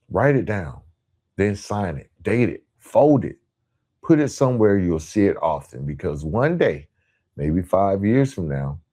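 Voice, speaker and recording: Low, bright-toned motivational man. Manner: emphatic, earnest, builds repeated phrases in parallel. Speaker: podcast host. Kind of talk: motivational monologue.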